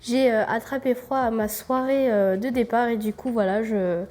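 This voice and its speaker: A woman's voice: a little high, steady tone, normal speed, a little mellow. Congested young woman vlogging with a cold. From France. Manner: rambling, many fillers, gives a tour while tidying. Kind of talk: casual vlog chat. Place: apartment indoors.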